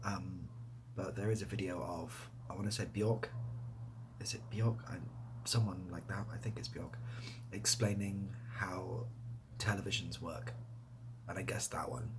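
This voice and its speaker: Soft low guy doing casual asmr chat, kinda rambly, half whispering, noticeable hiss behind him. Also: steady tone, unhurried. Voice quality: soft, breathy, often dropping to a whisper. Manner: self-deprecating, rambling and hesitant. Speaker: ASMR video creator and host. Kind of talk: soft-spoken ASMR, casual question-and-answer to camera.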